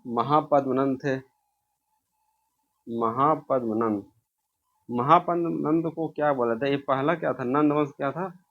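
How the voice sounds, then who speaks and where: A man's voice: medium pitch, dull audio. A man from India teaches an informal exam revision lesson, posing questions, answering them himself and repeating key answers.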